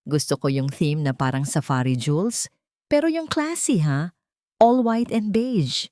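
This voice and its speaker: A woman's voice: low-pitched, big pitch swings, measured pace, warm tone, no echo, clean studio sound. A woman voicing a young, ambitious, classy mistress in a romance audio story, with a Filipino accent and a chatty, upscale manner. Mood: excited, gushing.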